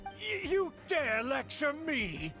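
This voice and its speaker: An actor playing a pompous, easily outraged aristocratic uncle in a video game. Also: male voice, very high voice, huge pitch swings, takes it slowly, exaggerated voice. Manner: pompous, indignant, blustering. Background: game music.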